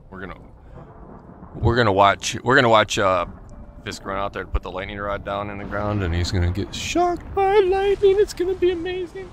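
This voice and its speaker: A man's voice: fairly low pitch, wildly swooping pitch, a little fast, slight background noise. A man streaming a game, chatting casually with his friends and his viewers. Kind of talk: casual gaming banter with friends and stream chat.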